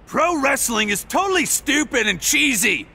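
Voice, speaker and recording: A man's voice: extremely high pitch, big pitch swings, moderate pace, creaky, sharp tone, slight background noise, exaggerated voice. A man playing a rude, jeering street punk in a video game, taunting as he talks. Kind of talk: video game cutscene acting.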